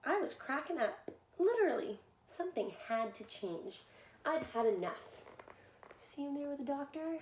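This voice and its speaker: A woman reading a picture book aloud to young children in an animated, expressive way, often talking directly to them. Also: sits high, normal speed. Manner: animated, addresses listeners with simple questions. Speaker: children's story reader and soccer coach. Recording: echoey room, faint background noise.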